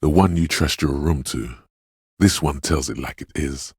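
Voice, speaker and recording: An extremely deep, ominous inner voice. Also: male voice, no room sound, studio quality, heavily acted. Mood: urging, intense. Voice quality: gravelly, husky. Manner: hushed, portentous, theatrical, dramatic pauses. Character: ominous, dreamy inner voice of a detective's intuition. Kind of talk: video game voice acting, second-person inner monologue.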